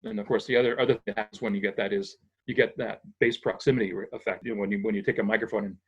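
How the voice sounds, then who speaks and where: A man's voice: lowish voice, steady tone, rapid-fire, breathy edge. An older man from Massachusetts, a sound engineer guest, patiently explaining technical things in a casual interview, thinking aloud with small restarts. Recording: dull lo-fi audio.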